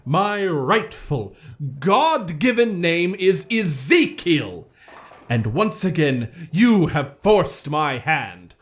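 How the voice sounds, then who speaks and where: An actor playing a pious, condescending evangelical preacher in a melodramatic 1950s radio drama, quoting scripture in a pompous, theatrical way. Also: male voice, a little high, very animated, extremely slow, exaggerated voice. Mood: scandalized.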